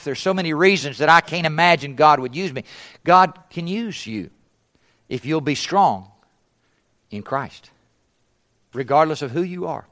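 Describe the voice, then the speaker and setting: A man from East Africa preaching a conference sermon as a missionary, emphatic, asking rhetorical questions and letting phrase ends fall to a near hush. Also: fairly high pitch.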